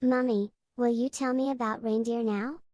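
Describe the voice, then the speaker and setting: Small girl of five played by a female performer: innocent, earnest, highish voice, audio drama, dry studio sound. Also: steady tone, normal speed, darkish tone, no echo, natural voice. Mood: curious, hopeful. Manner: simple, earnest childlike talk. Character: innocent, curious five-year-old English girl.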